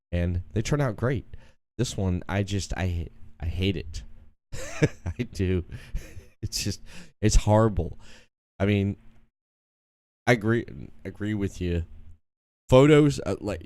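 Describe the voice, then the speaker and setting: A man's voice: low-pitched, darkish tone, clean tone, dry recording, studio quality. A man in his late thirties hosting a podcast, chatting casually and rambling with repeated phrases. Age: 30s. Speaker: podcast host. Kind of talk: casual chat.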